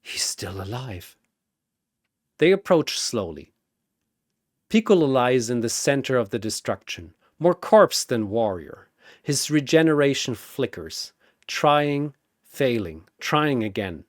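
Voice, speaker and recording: A man's voice: medium pitch, sing-song, measured pace, no echo, studio quality. A man narrating a scripted battle story in a theatrical, suspenseful manner.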